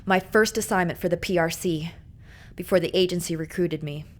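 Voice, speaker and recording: A woman's voice: fairly low pitch, steady tone, fairly quick, natural voice. An actress playing a grave, determined woman secret agent in a video game cutscene.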